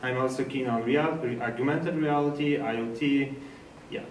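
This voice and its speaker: A man from Poland with a Polish accent gives an informal technical presentation in slightly halting non-native English. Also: mid-range voice. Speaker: speaker at a developer meetup. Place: indoor meetup presentation room. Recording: echoey room, distant mic, faint noise.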